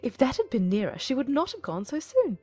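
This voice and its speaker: A woman voicing a fretful, excitable middle-aged mother in a dramatized audiobook, gushing and plaintive. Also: sits high, fairly quick, breathy edge, soft background music, voice pushed up, full character voice. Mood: mournful, peevish. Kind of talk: dramatized audiobook character acting.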